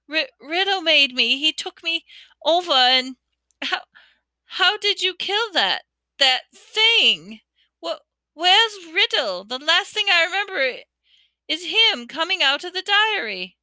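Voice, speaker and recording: A woman's voice: extremely high pitch, lively intonation, slow, sharp tone, no echo, pitched above the performer's own voice, exaggerated voice. A woman voicing a frightened young schoolgirl who is crying and stammering through her words. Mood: tearful, panicked. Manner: stammering, sobbing.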